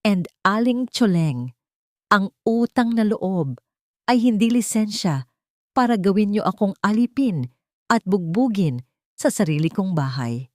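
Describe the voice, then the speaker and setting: A woman voicing a wounded young pregnant wife in an audio drama, soft but cold, resolute and final. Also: mid-range voice, animated, measured pace, long pauses, dry recording, studio quality, lightly acted. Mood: cold, defiant.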